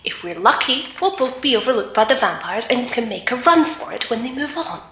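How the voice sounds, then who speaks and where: A woman playing a determined, sharp-tongued alchemist adventurer in a fantasy audio drama, tense and urgent. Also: fairly high pitch, big pitch swings, fairly quick, slightly rough, muffled recording, full character voice. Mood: irritated, resolute.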